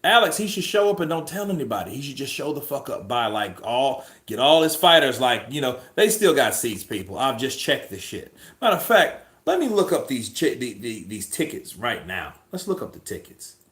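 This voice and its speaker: A man's voice: mid-range voice, normal speed, hardly any pauses. Guy rambling on a boxing stream, swears a lot, echoey room. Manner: profane, rambling, animated. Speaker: boxing livestream host. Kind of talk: casual livestream chat.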